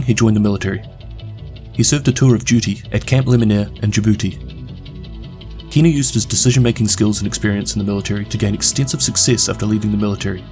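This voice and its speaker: A man with a New Zealand accent narrating a scripted lore recap in a brisk, steady delivery. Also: fairly low pitch. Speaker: video game lore narrator.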